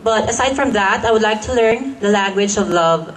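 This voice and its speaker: A teenage voice with a Filipino accent, feminine and mid-pitched, answering a pageant question. The delivery is poised and formal, shaped like a rehearsed speech. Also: female voice, moderate pace, slight background noise, low-quality recording. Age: teens. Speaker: pageant contestant.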